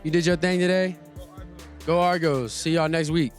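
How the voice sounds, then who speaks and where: A man's voice: fairly high pitch, steady tone, moderate pace, soft background music. A young man, a football player, asking a teammate friendly questions in a sideline interview. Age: young adult.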